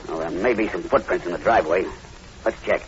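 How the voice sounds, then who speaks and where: A man's voice: deep voice, a little fast, lightly acted. An actor playing a fearless, commanding man hunting the undead, curt and urgent in an old-time radio horror drama. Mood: wary, firm. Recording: muffled, hissy old radio recording.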